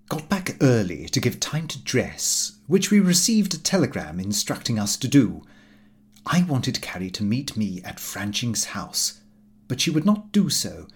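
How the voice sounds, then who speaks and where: A man reading a comic first-person diary as an audiobook, in a measured, fussy, expressive storytelling manner. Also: fairly low pitch. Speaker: audiobook reader.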